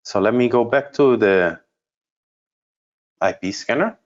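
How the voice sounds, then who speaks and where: A man giving an unscripted software demonstration as a trainer, explaining step by step and thinking aloud in a halting way. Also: fairly low pitch, muffled recording. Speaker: trainer presenting a product course.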